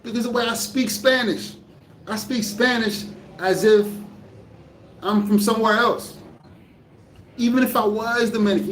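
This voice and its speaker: Rambling man in an echoey room. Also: extremely high pitch. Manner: rambling, restarts often, thinking aloud. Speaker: vlogger. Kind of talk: casual talk to camera. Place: living in the Dominican Republic. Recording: echoey room from a distance, faint ambience.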